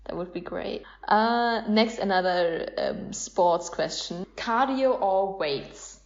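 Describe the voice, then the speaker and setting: A woman's voice: medium pitch, slow. A woman hosting a casual podcast chat, asking questions in an informal, conversational way. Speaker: podcast host asking quick either-or questions. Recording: slightly dull podcast recording.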